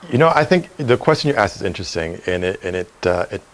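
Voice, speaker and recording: Low-voiced middle-aged American man. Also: very animated, fast, a little hiss or hum. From Cleveland, United States. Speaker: real estate executive being interviewed. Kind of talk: conversational interview.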